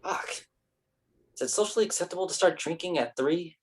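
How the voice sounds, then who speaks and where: A man in a staged reading, playing a brash, joking, foul-mouthed young friend with a husky voice. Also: sits high, a little fast. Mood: sardonic. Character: brash, jokey, profane young male friend.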